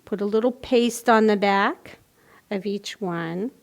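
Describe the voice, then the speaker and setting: A woman's voice: mid-range voice, slow. A woman presenting a library storytime, casually explaining a children's craft in simple steps.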